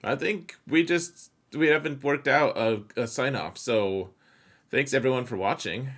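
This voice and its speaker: A man's voice: medium pitch, measured pace, a bit bright. A man co-hosting a casual podcast, laid-back and laughing easily. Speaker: co-host. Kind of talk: casual gaming podcast chat.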